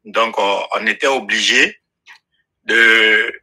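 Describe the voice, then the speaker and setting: A man's voice: on the low side, fairly even intonation, takes it slowly, sharp tone. A man who drives for rideshare apps, calling in and hesitantly searching for his words. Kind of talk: casual call.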